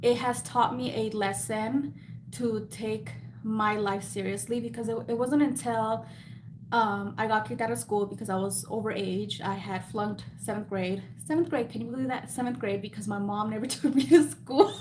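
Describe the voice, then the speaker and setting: A woman's voice: on the high side, normal speed, darkish tone, a little hiss or hum. A woman with a slight Mexican Spanish accent hosting a live Q&A, chatty and self-deprecating, laughing often. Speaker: beauty business host. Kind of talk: live Q&A chat.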